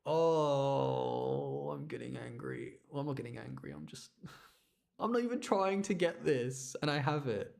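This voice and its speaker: A man's voice: medium pitch, animated, a little slow, creaky, a little mellow. A man giving casual live commentary as he streams a video game, rambling and often repeating himself.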